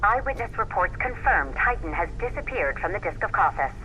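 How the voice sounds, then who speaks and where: Bright, formal radio newsreader. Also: female voice, medium pitch, animated, fairly quick, lo-fi sound, slight background noise. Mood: formal, neutral. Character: crisp, formal radio news reader. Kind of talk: scripted radio news in a video game.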